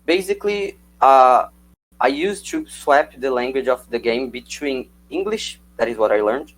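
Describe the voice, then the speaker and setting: Young man, a native Portuguese speaker, reading a scripted talk to slides in an even middle pitch. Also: a little slow, low-quality recording. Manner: reads prepared script, calls for slides. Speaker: student presenter. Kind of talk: prepared slide presentation.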